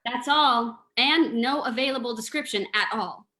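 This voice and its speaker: A woman's voice: on the high side, normal speed, sharp tone. A woman playing an alert, plucky sleuth's companion in an old-time radio drama. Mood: alert, concerned.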